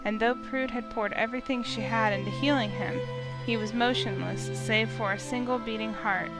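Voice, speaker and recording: A woman narrates a scripted fantasy story in storybook style. Her voice is a little high, and music plays clearly behind her. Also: steady tone, normal speed.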